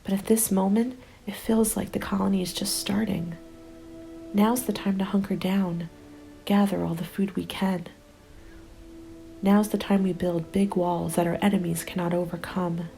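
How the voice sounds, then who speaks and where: Woman reading as soft, creaky, dreamy survivor. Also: mid-range voice, soft background music, natural voice. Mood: wistful hope. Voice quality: soft, breathy. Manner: calm, wistful, measured. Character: serene, dreamy colony survivor's farewell letter. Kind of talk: whispered ASMR roleplay, letter reading.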